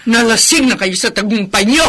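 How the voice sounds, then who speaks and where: A woman's voice: fairly high pitch, lively intonation, normal speed, pushed and bright, husky, heavily acted. A woman playing a defiant, indignant Filipino grandmother who scolds her grown children vehemently in a radio drama. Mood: angry, tearful.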